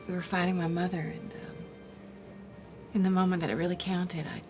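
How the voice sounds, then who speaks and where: A woman playing an earnest, soft-hearted young sorceress in tabletop roleplay, speaking in hesitant, searching phrases. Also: fairly low pitch, fairly even intonation, a little fast, natural voice. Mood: troubled, earnest. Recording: music playing behind the voice.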